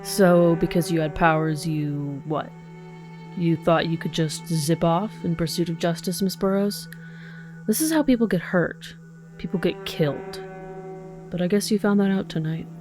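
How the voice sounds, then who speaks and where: An actress playing a blunt, sarcastic, foul-mouthed police detective in an audio drama. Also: female voice, lowish voice, moderate pace, lightly acted. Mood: stern, scornful. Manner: sardonic, blunt, confrontational. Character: hard-bitten, sarcastic, profane woman police detective. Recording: faint music behind the voice.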